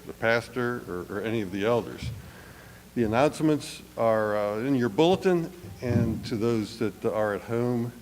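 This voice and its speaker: Raspy man with a head cold. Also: medium pitch. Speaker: church elder leading worship. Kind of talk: welcome and call to worship. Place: church sanctuary. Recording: long hall reverb, faint noise.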